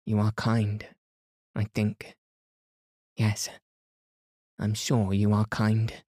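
A reserved young Englishwoman speaks in measured, composed sentences, her manner grave and quietly authoritative. The tone is dark and mellow, and the recording is very dry and close, as a man reading the story puts her on. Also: fairly low pitch, completely flat delivery, slightly rough, no echo, heavily acted. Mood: confiding.

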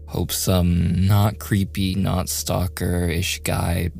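A man playing a shy, awkward young man in a boyfriend ASMR roleplay, softly stammering and often slipping into whispers. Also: sits low, little pitch movement, takes it slowly, gravelly, faint music behind, full character voice. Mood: joking, awkward. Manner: hesitant, stammering, self-deprecating joking.

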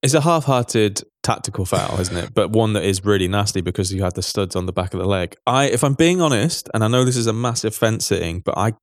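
Podcast host, male, lower voice, close dry sound, easy conversational football talk. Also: expressive, dry recording. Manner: chatty, self-correcting, quick asides. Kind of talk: casual football chat.

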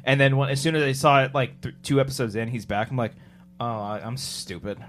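A person in a casual group chat who jokes fast, swears and trails off low at the ends of lines. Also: flat delivery.

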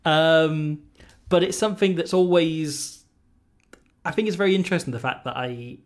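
A man's voice: a little high, normal speed. A man from Wales in his thirties, a guest on a casual rugby podcast, talking with joking, dry gallows humour.

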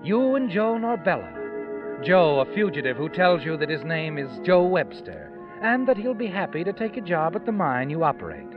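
An actor playing an ominous, knowing narrator in a 1940s radio suspense drama, speaking in an insinuating, measured way. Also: male voice, on the high side, sing-song. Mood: sly, insinuating. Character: ominous, knowing male narrator addressing the villain. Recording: muffled old radio recording with music.

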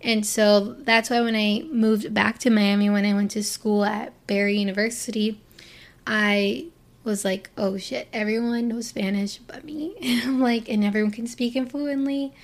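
A woman's voice: on the high side, fairly even intonation, normal speed. A young woman from Florida talking casually to camera, rambling with lots of fillers and restarts as she opens up. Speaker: vlogger. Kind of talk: casual personal talk to camera.

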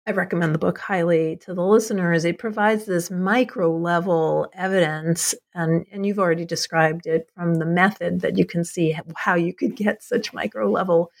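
Engaged woman host, lowish voice. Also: fairly even intonation, moderate pace, creaky, few pauses. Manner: engaged, some stumbles. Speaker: podcast host. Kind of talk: interviewing an author.

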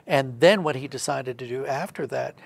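A man's voice: mid-range voice, normal speed, creaky. A man speaking as a podcast guest, explaining reflectively in conversation and building his thoughts in stages. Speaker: podcast guest, writer and creative director.

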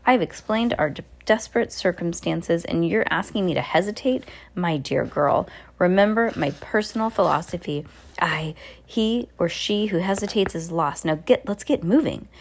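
A woman voicing a frantic, blustery male submarine captain who fires off rhetorical questions. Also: fairly low pitch. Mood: urgent. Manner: rapid-fire rhetorical questions.

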